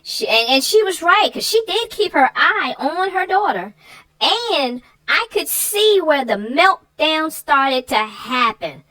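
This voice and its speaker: Woman, casual chat, lively swooping voice, animated, unhurried. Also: high voice, low-quality recording. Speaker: co-host discussing the story. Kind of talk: casual chat about a children's book.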